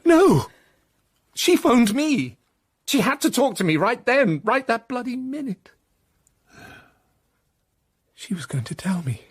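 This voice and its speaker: An actor playing a weak, guilt-ridden British husband in a radio drama, husky, strained and defensive. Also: male voice, extremely high pitch, very animated, slow pace, pauses often, heavily acted. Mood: anguished. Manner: strained, anguished. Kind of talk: emotional radio drama acting.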